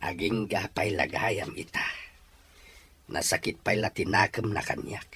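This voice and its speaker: A man's voice: on the low side, fairly even intonation, a little slow, sharp tone, slightly rough, full character voice. A man with a Filipino accent playing a sorrowful, earnest middle-aged father in an audio drama. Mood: sad, resigned. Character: regretful, pleading middle-aged father, once too poor. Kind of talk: Ilocano audio drama acting.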